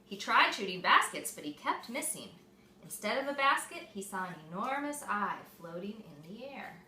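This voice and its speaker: A woman reading a picture book aloud to young children, expressive and explaining things simply, like a mother at storytime. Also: medium pitch, moderate pace. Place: echoey room with small children present. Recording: echoey room, distant mic, faint noise.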